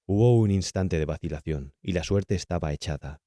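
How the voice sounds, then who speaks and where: A man's voice: low voice, normal speed, dark tone, no room sound, studio quality. A man with a Castilian Spanish accent reading a horror audiobook as a dramatic narrator.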